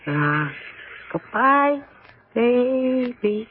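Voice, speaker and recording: A woman's voice: on the high side, sing-song, very slow, full character voice. An actress in an old radio drama playing a troubled young wife who slips into a dreamy, lost little girl, plaintive and insistent. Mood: childlike, dreamy. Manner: plaintive, insistent, repeating herself. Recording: muffled narrow-band radio broadcast recording.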